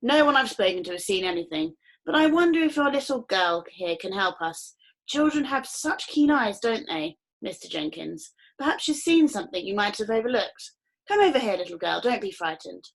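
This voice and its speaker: A woman's voice: medium pitch, big pitch swings, moderate pace, creaky, rough recording. A woman voicing a pompous, portly male customs officer who talks in a formal, officious way.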